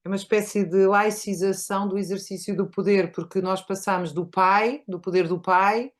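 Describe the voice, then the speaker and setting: A woman's voice: mid-range voice, fairly even intonation, normal speed. A woman hosting a conversation, making her points in an articulate, argumentative way. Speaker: host. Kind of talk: casual conversation.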